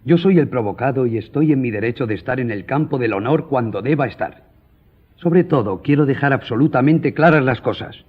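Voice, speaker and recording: An actor playing a proud, composed gentleman journalist in a radio drama, speaking formally and firmly. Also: medium pitch, animated, breathy edge, highs cut off. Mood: firm, offended. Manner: formal, measured, firm. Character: proud, composed male newspaper journalist, duel-ready gentleman.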